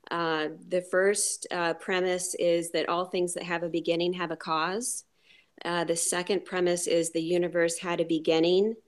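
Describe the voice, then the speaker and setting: American woman, lowish pitch, upbeat guest, explains casually with fillers. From United States. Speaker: panel guest.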